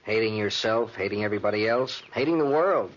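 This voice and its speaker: An actor playing a hearty outdoorsy brother-in-law with a husky voice, in 1940s radio drama style on a muffled old recording. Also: male voice, on the low side, a little fast, close to an everyday voice. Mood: musing.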